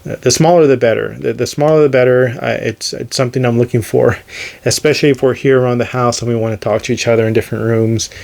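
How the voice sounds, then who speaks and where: A man's voice: mid-range voice, steady tone, fast talker, gravelly, a little mellow. An American man hosting a casual, unscripted hobby review video, rambling and hesitant as he thinks aloud. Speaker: radio hobbyist video host.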